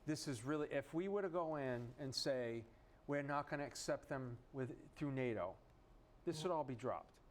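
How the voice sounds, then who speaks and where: A man's voice: mid-range voice, expressive, normal speed, a little hiss or hum. A man co-hosting a casual political chat, voicing strong opinions and throwing out rhetorical questions. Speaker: talk show co-host.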